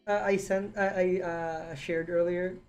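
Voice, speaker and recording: Excitable woman chatting casually. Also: on the low side, unhurried. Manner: excitable, laughs often, many restarts. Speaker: host reviewing a series. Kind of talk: casual chat.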